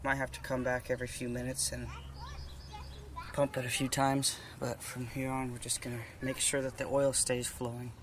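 A man giving a casual, unhurried how-to narration while working outdoors, heard through a camera set near the job. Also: mid-range voice, completely flat delivery. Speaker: DIY mechanic demonstrating a repair. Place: outdoors in a driveway beside a jet ski. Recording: outdoor camera audio with background noise.

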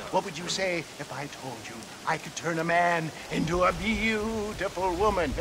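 A man's voice: sits high, moderate pace, noisy background. An actor playing an elderly, flamboyant snake-oil salesman in a video game, with sly, florid showman patter. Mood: showman pitch. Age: older. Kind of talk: video game character acting. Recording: game audio with loud outdoor ambience.

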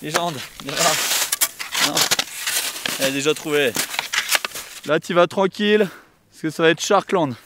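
A man from France in his thirties giving excited, playful commentary while skiing down a snowy mountain, filmed on an action camera. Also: on the high side, unhurried, long pauses, background noise. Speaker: freeride skier filming himself. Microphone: helmet or pole camera. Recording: loud music over outdoor camera audio.

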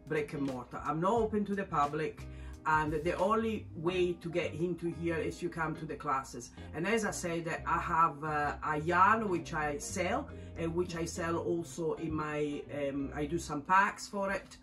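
A middle-aged woman from Italy with an Italian accent, a crochet teacher earnestly encouraging beginners in halting English. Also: low voice, fairly bright tone, faint music behind. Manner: earnest, encouraging, halting non-native phrasing. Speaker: crochet teacher and shop owner. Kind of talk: promotional class introduction. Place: indoor yarn studio with shelves.

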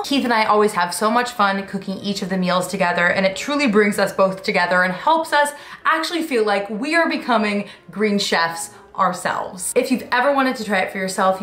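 A woman's voice: mid-range voice, a bit bright. Chatty young woman vlogger, roomy sound. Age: 20s. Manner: chatty, upbeat, informal. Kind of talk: casual vlog chat to camera.

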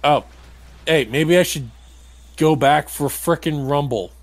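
A man's voice: on the high side, measured pace. Casual, grumbling male gamer. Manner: grumbling, critical, mildly profane. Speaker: let's play host playing the game. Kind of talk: casual game commentary.

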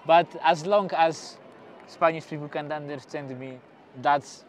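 A man's voice: a little high, steady tone, a little slow, a little hiss or hum. Chuckling Polish man in his thirties. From Poland. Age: 30s. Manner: cheerful, chuckles between phrases. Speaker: interviewed pilgrim. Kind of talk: casual street interview. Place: outdoor city square. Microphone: lapel mic.

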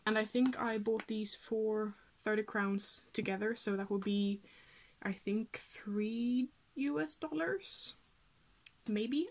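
Chatty young Swedish woman vlogging. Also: mid-range voice. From Sweden. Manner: chatty, with frequent fillers and small laughs. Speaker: book vlogger. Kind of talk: casual talk to camera. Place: small attic room at home, indoors.

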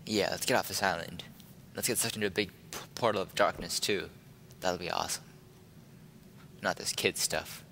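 A man's voice: deep voice, steady tone, normal speed, vocal fry, pushed and bright, slight background noise. A young man giving casual, rambling, sardonic commentary as he plays a video game. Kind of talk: casual let's play commentary. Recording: game music under the commentary.

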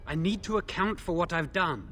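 An actor playing a remorseful, timid imprisoned mage in a video game, speaking in a hesitant, earnest way. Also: male voice, high-pitched, expressive, moderate pace, fairly bright tone, soft background music. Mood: remorseful, pleading.

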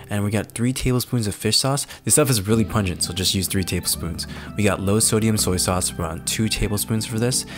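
A man hosting a cooking video, narrating steps in a relaxed, chatty way with self-deprecating asides. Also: on the low side. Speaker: cooking channel host. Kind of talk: casual cooking voice-over. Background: guitar music.

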